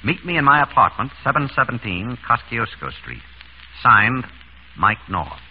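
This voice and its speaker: An actor playing an urbane, wry surgeon turned amateur sleuth in an old-time radio drama. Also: male voice, mid-range voice, big pitch swings, moderate pace, natural voice. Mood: businesslike. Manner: urbane, wry, composed under threat. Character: suave, quick-witted male surgeon turned amateur sleuth. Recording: tinny, noisy vintage radio recording.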